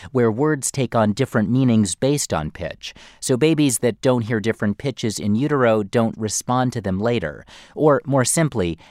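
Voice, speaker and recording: A man narrating a science feature in a scripted, clear and explanatory way. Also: mid-range voice, a little mellow, no echo, clean studio sound.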